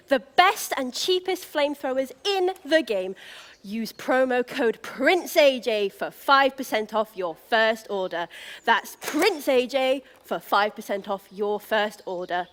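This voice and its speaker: An actress playing a vain, excitable young prince in a stage pantomime, talking in a slangy, over-excited influencer manner. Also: female voice, a little high. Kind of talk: theatrical pantomime stage acting.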